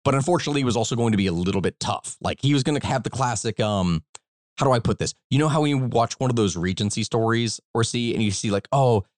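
A man's voice: medium pitch, fast talker, no echo, studio quality. A man with an American accent and a slight Kentucky twang, telling history as a podcast host in a rambling, emphatic, informal way. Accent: American, slight Kentucky country twang. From Kentucky, United States. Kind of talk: conversational history storytelling.

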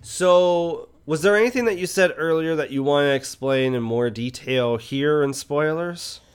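A man's voice: fairly high pitch, normal speed, clean tone. A man co-hosting a podcast, explaining his points about movies in a casual, conversational way. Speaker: podcast co-host. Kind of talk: casual movie discussion chat.

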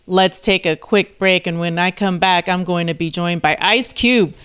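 Smooth-voiced woman hosting an interview. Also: lowish voice, steady tone, normal speed, a bit bright. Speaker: show host.

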